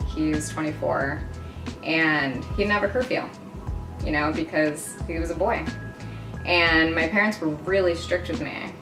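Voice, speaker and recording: Animated woman in her thirties, lower voice. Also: measured pace. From New York. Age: 30s. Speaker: interviewee. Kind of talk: documentary interview. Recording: roomy, distant mic with music bed.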